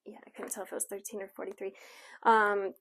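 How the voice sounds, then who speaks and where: A woman's voice: medium pitch, level tone, fast, fairly bright tone. Woman giving a casual oracle card reading. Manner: conversational, reassuring asides, frequently checks in with "okay". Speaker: tarot and astrology reader, show host.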